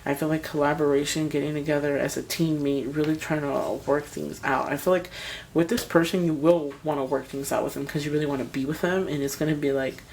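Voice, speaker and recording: A woman with a scratchy, hoarse voice giving a tarot reading, talking straight to her viewers in a hesitant, rambling way. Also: deep voice, fairly even intonation, fairly quick, darkish tone. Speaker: tarot reader.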